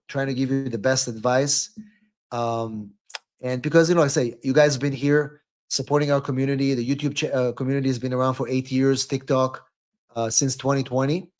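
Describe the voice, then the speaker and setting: Male live-stream host, attorney type, average pitch, informal advice delivery with repeated words and tag questions. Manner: repeats words, tags sentences with "right?". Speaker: immigration attorney hosting a live call-in stream.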